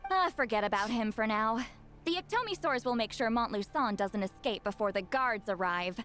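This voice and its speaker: A young shaman woman from a video game, with a fairly high voice. She sounds composed, dryly witty and a little aloof, over light background music. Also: animated, moderate pace, fairly bright tone. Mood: reassuring, purposeful.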